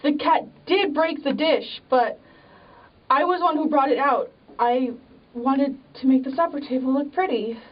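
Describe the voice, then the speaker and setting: A high, earnest voice, a woman acting a timid young woman desperately in love in a stage drama. She sounds pleading and devoted, picked up dully from some distance. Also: a little slow. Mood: anxious pleading. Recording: dull, distant microphone.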